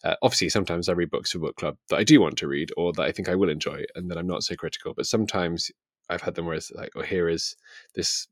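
A man with a deep voice chats about books as a podcast co-host. He is wry and self-questioning, feeling his way through each thought, and the sound is dry with no room echo. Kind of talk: casual book discussion.

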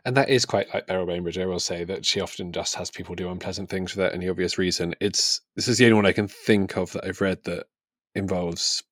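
A man's voice: sits low, steady tone, fast, fairly bright tone, no room sound, studio quality. A man co-hosting a podcast in a casual book discussion, speaking in a tentative, thoughtful way.

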